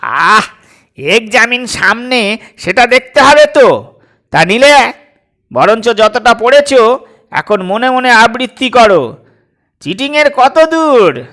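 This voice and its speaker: A man voicing a fussy, irritable but doting old father in a comic audio story, scolding with emphatic, drawn-out delivery. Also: very high-pitched, very animated, sharp tone, voice pushed up, exaggerated voice. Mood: annoyed.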